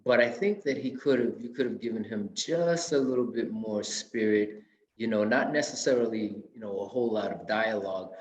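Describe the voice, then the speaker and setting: A male actor giving tentative, roundabout feedback in a question-and-answer discussion. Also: lowish voice. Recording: echoey, dull lo-fi sound.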